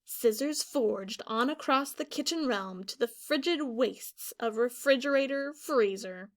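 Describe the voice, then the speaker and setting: A woman teacher reads a children's picture book aloud in a high voice. Her pace is slow and drawn out, and her delivery is dramatic and expressive. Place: small indoor classroom or office.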